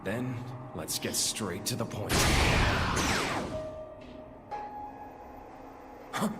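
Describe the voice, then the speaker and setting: A man acting a brooding villain who confronts his father in a game cutscene, grave, cold and deliberate. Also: on the low side, loud music behind the voice, close to an everyday voice. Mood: cold, impatient. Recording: game audio with loud background noise.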